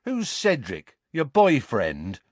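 A man's voice: mid-range voice, huge pitch swings, slow pace, no echo, pitched above the performer's own voice, exaggerated voice. A man voicing a big, boastful teenage bully who snarls and sneers in a storybook reading. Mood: gloating.

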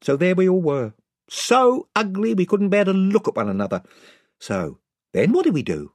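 A man's voice: high-pitched, very animated, moderate pace, darkish tone, dry recording, pitched above the performer's own voice. A man voicing a self-important, rambling chief of simple folk in a storybook, with a folksy manner. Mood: rambling, earnest. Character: pompous, rambling, rustic invisible chief, male.

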